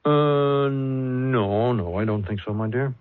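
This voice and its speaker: An actor playing a genteel, courteous, pensive male writer in an old-time radio drama, speaking in a measured, musing way. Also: mid-range voice, no echo, natural voice. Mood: courteous, wistful. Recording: muffled vintage 1970s radio recording.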